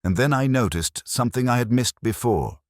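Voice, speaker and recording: A man playing a melancholy, introspective police detective, narrating a mystery in a measured, reflective manner. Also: mid-range voice, expressive, dry recording, clean studio sound, natural voice. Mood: brooding, reflective. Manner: measured, reflective, literary phrasing. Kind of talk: scripted first-person narration of a detective mystery.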